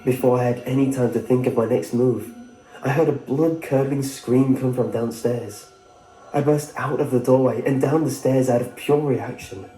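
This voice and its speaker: A man's voice: mid-range voice, steady tone, normal speed, mellow. A man reading a scripted first-person horror story as its narrator. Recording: echoey, clear music behind.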